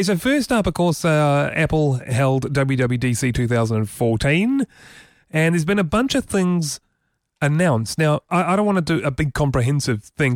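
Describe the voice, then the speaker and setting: A man's voice: a little high, no room sound. A man hosting a casual tech show from a studio, explaining features enthusiastically with many fillers. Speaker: podcast host. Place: radio studio.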